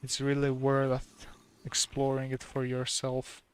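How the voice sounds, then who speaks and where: A soft-spoken man with a Slovak accent, a native Slovak speaker, gives calm, casual commentary while streaming a game. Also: mid-range voice, slow, clear, resonant tone.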